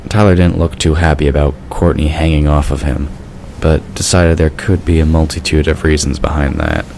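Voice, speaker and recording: Very deep-voiced male story narrator. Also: steady tone, moderate pace, a little mellow, a little hiss or hum.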